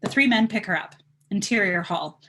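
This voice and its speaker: A woman's voice: mid-range voice, moderate pace, bright, forceful tone, a little hiss or hum, poor audio. A woman reading out stage directions as scripted narration at a live table read.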